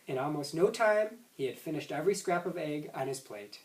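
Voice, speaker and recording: A man's voice: a little high, expressive, a little fast. A man reading a children's story aloud expressively, now and then stumbling and rereading words. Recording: roomy echo, distant microphone.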